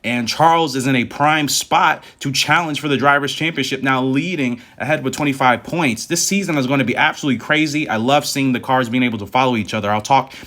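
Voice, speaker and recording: A man's voice: medium pitch, brisk, few pauses, a bit bright. A man giving a casual motorsport recap as a video host, conversational and emphatic, repeating points to stress them. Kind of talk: casual race recap commentary.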